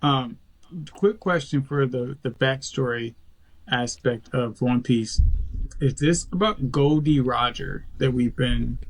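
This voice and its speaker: A man's voice: mid-range voice, takes it slowly, rough recording. A man chatting casually as a podcast co-host. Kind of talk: casual chat.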